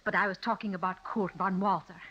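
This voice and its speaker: An actress playing a cold, calculating wife, composed and deliberate, in an old-time radio drama. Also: female voice, mid-range voice, fairly even intonation, husky, lo-fi sound, lightly acted. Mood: dreamy, cold. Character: cold, calculating, lovestruck wife scheming to be widowed.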